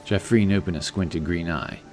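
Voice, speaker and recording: Deep guy narrating a fantasy story, scripted read, music going behind him. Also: moderate pace, a little mellow.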